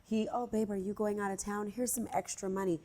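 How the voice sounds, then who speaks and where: A woman's voice: medium pitch, fairly quick. Emphatic nasal-voiced woman. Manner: emphatic and animated, acts out quotes. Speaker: podcast co-host. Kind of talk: candid casual chat.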